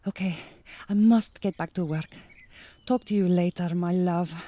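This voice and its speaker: An actress playing an earnest, naive young migrant farm worker with a Hungarian accent, in a naturalistic radio drama. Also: female voice, lowish voice, measured pace, warm tone, dry recording, close to an everyday voice. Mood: wistful, chatty.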